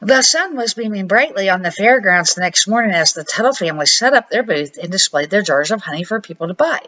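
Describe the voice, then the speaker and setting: A woman's voice: medium pitch, expressive, a little fast, a bit bright. An older woman reading a children's storybook aloud as an amateur narrator. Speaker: amateur storybook reader, a grandmother. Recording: dull lo-fi home recording.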